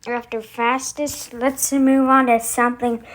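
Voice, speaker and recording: Slow-paced, higher-pitched female host. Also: level tone. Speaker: channel host. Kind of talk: casual demo of voice effects to camera.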